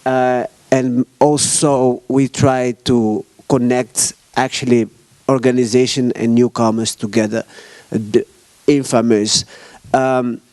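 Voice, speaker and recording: Halting man searching for words, dry sound. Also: mid-range voice, flat delivery, a little mellow, no room sound. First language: Dutch. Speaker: arts organization staff member presenting at a meeting. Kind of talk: conference presentation.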